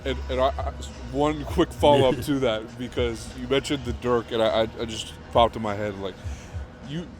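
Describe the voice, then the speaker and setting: Casual male sports interviewer, music behind. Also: mid-range voice. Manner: halting, informal, repeats words.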